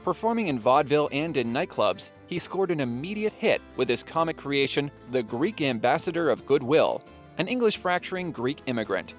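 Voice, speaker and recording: A man's voice: a little high, very animated, fairly quick. Man narrating playfully over music. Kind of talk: scripted documentary narration with joking asides.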